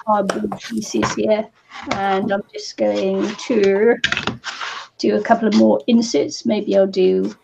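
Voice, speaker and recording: A New Zealand woman with a scratchy voice talks through her crafting at an unhurried pace. Her tone is gravelly and mellow. A faint hiss sits under the recording. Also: medium pitch. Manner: slow, relaxed, laughs between phrases. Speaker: craft channel host. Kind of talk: casual craft chat.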